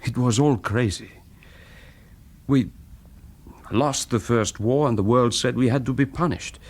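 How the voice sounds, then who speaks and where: An actor playing a reflective, persuasive half-German former wartime spy in a radio drama, speaking deliberately and haltingly. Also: male voice, mid-range voice, darkish tone, close to an everyday voice. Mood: bitter, reflective. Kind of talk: naturalistic radio drama acting.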